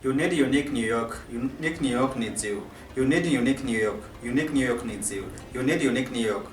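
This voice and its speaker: A young man with a Kenyan accent, not a native English speaker, chats playfully and restarts his sentences often. His voice echoes around the room from a distance. Music plays clearly underneath. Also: medium pitch. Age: young adult. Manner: playful, laughs often, halting phrasing. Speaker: co-host. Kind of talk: casual chat.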